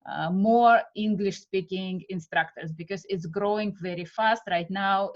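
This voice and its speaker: Slow-talking Russian woman teaching online. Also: mid-range voice, highs cut off. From Siberia, Russia. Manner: halting, many fillers, warm welcomes. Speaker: art method instructor. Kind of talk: live online class introduction. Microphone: video call.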